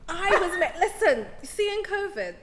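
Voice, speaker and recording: A woman with a British accent hosting a casual chat, talkative and quick to laugh. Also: extremely high pitch, big pitch swings. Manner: chatty, laughs often, plays with voices. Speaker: host.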